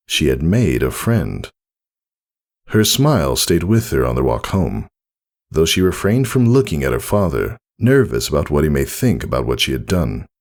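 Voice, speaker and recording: A man reading a story aloud as narrator in a scripted storytelling style. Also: deep voice. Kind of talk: scripted fan fiction narration.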